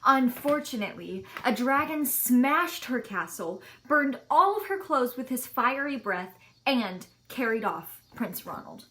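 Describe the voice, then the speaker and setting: Bright-voiced woman reading a storybook. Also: on the high side, sing-song, unhurried, hardly any pauses, a little hiss or hum, low-quality recording. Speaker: children's story reader. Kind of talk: expressive storybook read-aloud for children.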